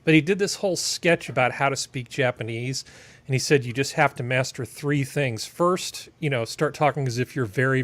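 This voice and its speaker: A man's voice: mid-range voice, fairly bright tone. A middle-aged man chatting casually and comically as part of a panel. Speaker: panel member.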